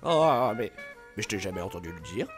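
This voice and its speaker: A man's voice: lowish voice, sing-song, brisk, music in the background, voice pushed down. A man voicing a small, fussy, sarcastic cartoon sidekick creature with a chattery, exasperated manner. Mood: impatient, chatty.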